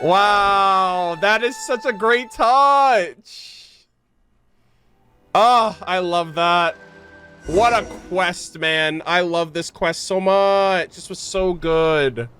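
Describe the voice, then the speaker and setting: A woman streamer giving excited gameplay reactions in a gushing, slangy, exclaiming style. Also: on the low side, extremely slow, soft background music. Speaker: game streamer.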